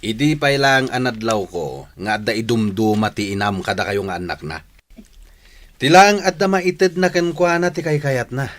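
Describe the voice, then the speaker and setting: A man's voice: mid-range voice, animated, moderate pace, a bit bright, lightly acted. A man playing a lazy, jealous village husband in a radio drama, with a scolding, demanding manner. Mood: resentful, blunt. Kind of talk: Ilocano radio drama acting.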